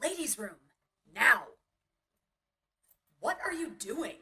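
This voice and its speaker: A woman's voice: sits high, big pitch swings, slow pace, bright, forceful tone, breathy edge, far from the mic, exaggerated voice. A woman playing a brusque, jaded young city roommate in a motion comic, curt and bossy. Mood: curt, irritated.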